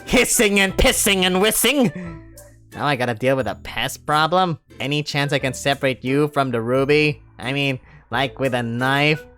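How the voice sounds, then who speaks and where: Brief: narrator voices a sarcastic, unimpressed young man, deadpan, faint music behind. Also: mid-range voice, normal speed, pitched above the performer's own voice, close to an everyday voice. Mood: exasperated.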